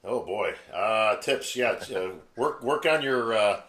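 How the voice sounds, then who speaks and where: Chummy American host in echoey room. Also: male voice, fairly low pitch, animated, slow, fairly bright tone. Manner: friendly, chummy, laughs often. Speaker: podcast host. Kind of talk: casual interview chat.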